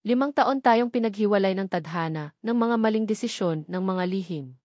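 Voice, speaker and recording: A woman's voice: mid-range voice, expressive, normal speed, a little mellow, dry recording, studio quality, close to an everyday voice. A woman voicing a devoted, tender husband in an audiobook love story, earnest and solemn.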